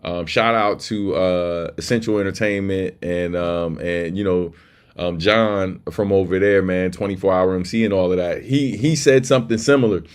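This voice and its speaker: Male livestream host, deep voice, laid-back street-casual delivery, big on shout-outs. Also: normal speed, clear, resonant tone.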